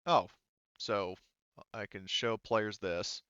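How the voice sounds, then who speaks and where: A man running a tabletop roleplaying game as game master, improvising narration in a casual, halting way as he thinks aloud. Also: lowish voice, fairly even intonation, sharp tone, smooth, no echo, dull audio.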